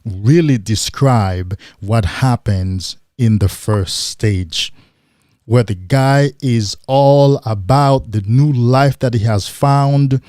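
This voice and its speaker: A man hosting a motivational livestream, speaking emphatically with rhetorical questions and now and then mimicking excited men in a higher voice. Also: no echo, clean studio sound. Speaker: livestream host.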